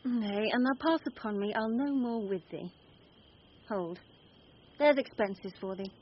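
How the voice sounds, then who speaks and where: A woman playing a courtly, high-voiced page. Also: a little slow, close to an everyday voice. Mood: wry, courteous. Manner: poised, courtly, articulate. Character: young woman disguised as a courteous, quick-witted page. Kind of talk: Shakespearean radio drama acting. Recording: dull radio recording, faint noise.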